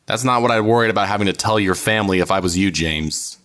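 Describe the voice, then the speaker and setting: An actor playing a principled, suspicious police officer in an audio drama, indignant and questioning. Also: male voice, on the low side, quick pace, a bit bright, lightly acted. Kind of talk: naturalistic audio drama dialogue.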